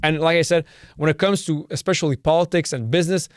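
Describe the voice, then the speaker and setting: Man, Serbian mother tongue, fairly high voice, dry close sound, emphatic and repetitive, swears. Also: fast talker, no echo. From Canada. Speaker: podcast host. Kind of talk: solo opinion monologue.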